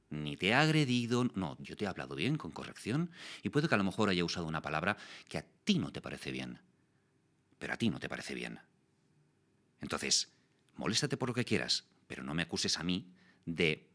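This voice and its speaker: Deep-voiced guy in his forties, crisp bright tone, chatting as a guest, acts out quotes. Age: 40s. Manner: explanatory, animated storytelling with voiced quotes. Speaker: guest, voice actor and communication coach. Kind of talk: casual interview talk.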